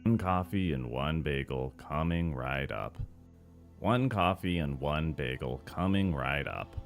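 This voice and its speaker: Man voicing very deep, weary cafeteria worker. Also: a little slow. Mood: weary, resigned. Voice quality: deep, gravelly voice. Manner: weary, sighing, low-energy delivery. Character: depressed, weary male cafeteria worker serving coffee. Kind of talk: animated series voice-over takes. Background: background music under the voice.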